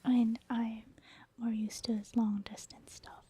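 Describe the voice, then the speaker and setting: A woman giving soft-spoken ASMR game commentary in a hushed, breathy near-whisper, explaining things gently and casually. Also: a little high, level tone, takes it slowly. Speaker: ASMR gaming video host.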